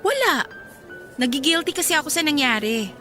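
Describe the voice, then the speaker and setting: Wheedling bride with lively, swooping pitch. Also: female voice, normal speed, soft background music, exaggerated voice. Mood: pleading. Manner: coaxing, wheedling persistence. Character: insistent, scheming bride, pleading with her resentful half-sister. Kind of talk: emotional radio drama acting.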